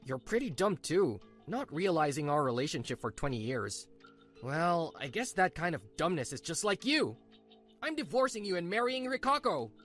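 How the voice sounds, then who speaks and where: Smug cheating husband, sneery and dismissive, bouncy pitch, music under it, woman doing him. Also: sing-song, moderate pace.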